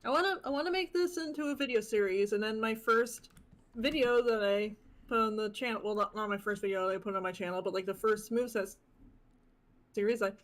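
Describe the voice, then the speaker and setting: A woman's voice: medium pitch, a little fast. A woman chatting on a live stream, rambling with lots of "like" and "um" as she explains how she started. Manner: rambling, many likes and ums. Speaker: stream guest, moveset creator. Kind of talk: casual live stream chat.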